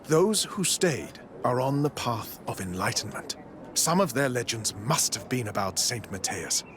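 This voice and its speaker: A zealous priest speaks at a middling pitch for a game scene. He is formal and smooth-talking, preaching with condescension over faint outdoor ambience. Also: sing-song, a little slow, background noise. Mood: smugly patient. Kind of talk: scripted video game dialogue.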